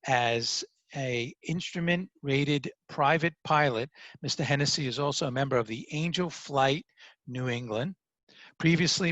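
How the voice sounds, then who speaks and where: A man's voice: mid-range voice, fairly bright tone, no room sound, dull audio. A man with a Brooklyn accent hosting an interview, reading an introduction with many fillers. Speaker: host. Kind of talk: interview host.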